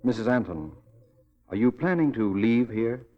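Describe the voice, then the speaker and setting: An actor playing an earnest, insistent detective in 1940s radio drama style, with a clipped, commanding, deliberate delivery. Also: male voice, medium pitch, animated, highs cut off, lightly acted. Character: earnest, insistent male detective, urgent and protective.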